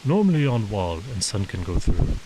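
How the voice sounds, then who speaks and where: A man voicing a large, calm, patient foreign warrior who speaks terse, measured broken English in a story reading. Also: fairly low pitch, noisy background. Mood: patient, explaining.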